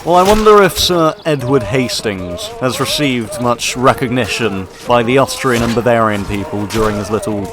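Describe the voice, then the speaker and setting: A man narrates his gameplay in the pompous tone of a stuffy officer, posh and full of bluster, with dry asides. His voice sits at a middle pitch. Game music plays clearly behind him. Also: animated, normal speed, few pauses. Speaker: gaming video host. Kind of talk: in-character gameplay commentary.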